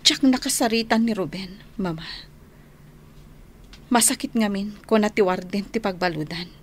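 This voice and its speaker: A woman's voice: medium pitch, normal speed, lightly acted. An actress playing a worried, devoted, earnest young woman in an Ilocano radio drama, with an Ilocano accent. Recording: clear humming noise behind voice.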